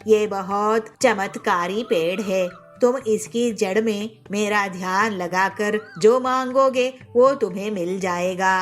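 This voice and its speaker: Woman voicing an old bird goddess from a cartoon, kindly, slow, low and gentle, soft music behind. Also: natural voice.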